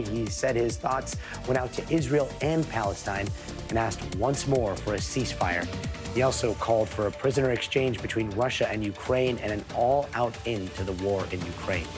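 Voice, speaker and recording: A hoarse man anchoring a news show, reading stories with playful puns in between. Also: mid-range voice. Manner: punny, playful asides. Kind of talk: news presenting.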